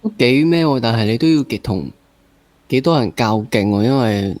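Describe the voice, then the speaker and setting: A man's voice: medium pitch, lively intonation, normal speed, a little mellow, dry recording. A man with a Hong Kong accent hosting a casual interview, probing and rephrasing at length with piled-up examples. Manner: rephrases at length, piles up examples, mixes in English. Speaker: interview host.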